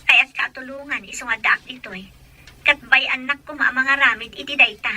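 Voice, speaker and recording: A woman's voice: sits high, fairly even intonation, moderate pace, pushed and bright, exaggerated voice. A woman playing an apologetic, hardworking wife far from home in an audio drama, speaking earnestly.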